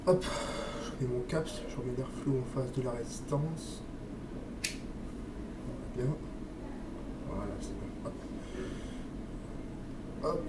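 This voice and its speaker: A man's voice: mid-range voice, takes it slowly, long pauses. A man with a slight cold chatting casually through a product review vlog, hesitant and friendly, often stopping to address his viewers. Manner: chatty, hesitant, says "les amis" and "hop" often. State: stuffy with a cold, coughing. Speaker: vape liquid reviewer. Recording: echoey room, distant mic, noisy.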